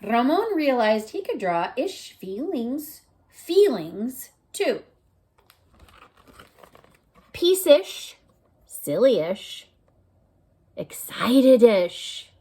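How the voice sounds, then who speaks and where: Female primary teacher for story time: slow, very lively delivery, savors words, invites listeners to think. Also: fairly high pitch. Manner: gentle questioning, prompting listeners to think. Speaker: teacher reading a picture book aloud. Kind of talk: expressive children's read-aloud with asides to listeners.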